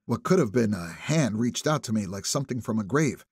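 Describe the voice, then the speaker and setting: Man, audiobook thriller narration, raspy edge, lively intonation, suspenseful, dry recording. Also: lowish voice, fairly quick, no echo. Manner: expressive, suspenseful phrasing. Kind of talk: dramatic first-person thriller narration.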